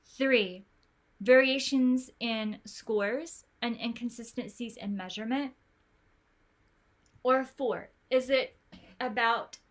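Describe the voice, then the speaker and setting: A woman's voice: on the high side, takes it slowly, pauses often, rough recording. A woman coaching an online study group, talking in a chatty, encouraging way full of fillers and restarts over a video call. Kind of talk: online tutoring session.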